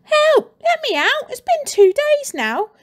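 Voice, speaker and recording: A woman voicing a frightened young boy trapped in a cupboard, crying out in a high pleading voice. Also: very animated, slow, full character voice.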